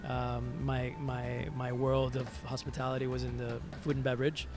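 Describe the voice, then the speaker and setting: A man from Venezuela, a native Spanish speaker, telling his story as an interview guest in a reflective, halting, conversational way, repeating words as he searches. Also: mid-range voice, monotone. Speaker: interview guest, musician and hospitality entrepreneur. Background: music.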